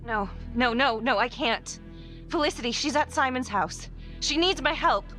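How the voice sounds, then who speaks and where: A woman's voice: sits high, expressive, normal speed, fairly bright tone, soft background music. An actress playing a tough but rattled female detective in a crime thriller game, urgent, blunt and naturalistic. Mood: frantic, pleading. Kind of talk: cinematic video game acting.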